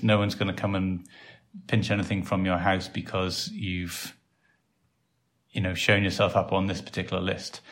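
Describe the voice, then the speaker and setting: A Welsh man with a very low voice hosts a casual podcast. He rambles along easily, full of ums and little restarts. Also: creaky. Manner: relaxed and rambling, with many fillers. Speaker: podcast host and site developer.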